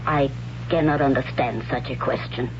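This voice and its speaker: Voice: medium pitch, fairly even intonation, close to an everyday voice. Performer as grave, formal priestess on muffled radio. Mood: stern. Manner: formal, measured, solemn. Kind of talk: 1970s radio drama acting. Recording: muffled, noisy 1970s radio recording.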